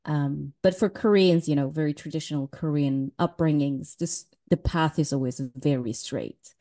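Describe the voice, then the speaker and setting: A woman's voice: low voice, normal speed, warm tone. A woman raised in Switzerland, talking candidly as a podcast guest and laughing easily. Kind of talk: casual interview talk.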